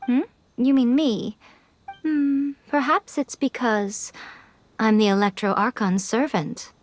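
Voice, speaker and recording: Sly fox-spirit lady, high-pitched. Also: fairly even intonation, slow, slight background noise. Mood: coy, amused. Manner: teasing, poised, knowing. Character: sly, teasing, elegant fox-spirit woman, serenely self-assured. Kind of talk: video game voice acting. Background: game music.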